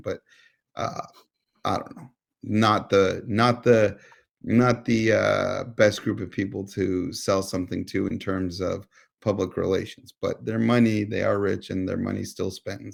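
An American man co-hosting a podcast, debating casually in a wry, halting way with some swearing. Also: on the low side, gravelly, breathy edge. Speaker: podcast co-host.

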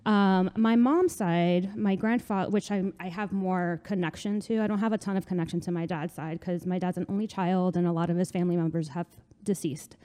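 A young woman from New Jersey, talking casually as a guest in an interview, chatty and quick to laugh. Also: fairly low pitch, fairly even intonation, dark tone. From New Jersey, USA. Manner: chatty, laughs often, many fillers. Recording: long room reverb.

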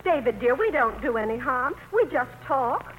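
A spirited older wife in an old radio play. She speaks high and lively, earnest with some defiance. The sound is muffled. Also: moderate pace, slight background noise. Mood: pleading, defiant. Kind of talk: 1940s radio acting.

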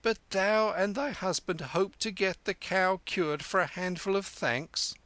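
A man doing a bold, quick-witted street boy. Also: high voice, fairly bright tone, dry recording, pitched above the performer's own voice. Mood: shrewd. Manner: raised, sing-song mimicry of an elder.

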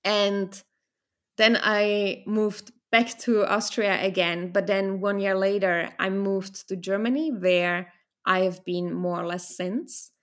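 A woman in her thirties, born in the Czech Republic, talking through her memories as a guest in a relaxed conversation. She tells her stories thoughtfully and sometimes restarts a phrase, with a voice of middling pitch. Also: takes it slowly. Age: 30s. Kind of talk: casual interview conversation.

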